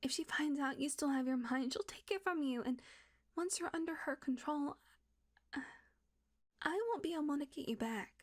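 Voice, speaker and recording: A woman acting a tense female vampire who switches between hushed whispers and cursing outbursts in an audio roleplay. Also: high-pitched, moderate pace, long pauses, close to an everyday voice. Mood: shaken, whispering.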